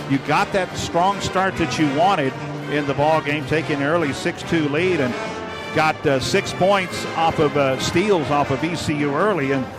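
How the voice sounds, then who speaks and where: A man's voice: a little high, low-quality recording. Male radio sports announcer over music. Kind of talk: postgame sports radio interview.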